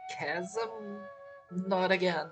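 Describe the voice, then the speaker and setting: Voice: medium pitch, extremely slow. A game streamer giving chatty, reactive commentary over a playthrough. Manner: chatty, reactive, often laughing. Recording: game music behind the voice.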